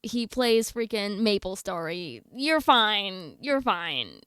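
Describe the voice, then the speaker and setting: A woman's voice: medium pitch, expressive, slow, fairly bright tone, clean tone, dry recording, studio quality. A young adult woman livestreamer chatting casually with her viewers in a slangy, blunt, candid way. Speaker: game livestreamer.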